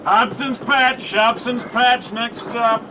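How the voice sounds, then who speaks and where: An actor playing a train conductor calling out a stop in a radio drama, with drawn-out words. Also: male voice, very high voice, noisy background.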